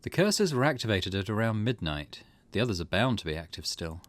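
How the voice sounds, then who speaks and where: A man's voice: on the low side, big pitch swings, brisk, dry recording. A man hosting a let's play, chatting casually, thinking aloud and reading game text out as he goes. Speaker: gaming channel host. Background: game music.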